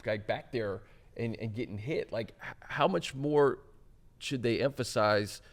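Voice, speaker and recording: A man's voice: mid-range voice, measured pace. A man hosting a sports show, talking casually about football. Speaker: sports talk show host.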